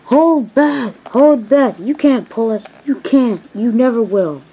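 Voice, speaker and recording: A woman voicing mischievous, stubborn freight trucks in a children's story, chanting loud taunts. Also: a little high, takes it slowly, slight background noise, pitched above the performer's own voice. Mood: taunting.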